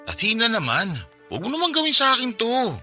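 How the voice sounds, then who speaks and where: High, pleading remorseful fiancé, Filipino accent. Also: male voice, sing-song, brisk, sharp tone, soft background music, heavily acted. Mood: defensive, pleading. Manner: pleading, insistent, apologetic. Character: remorseful, pleading young cheating fiancé begging forgiveness. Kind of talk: Filipino radio drama acting.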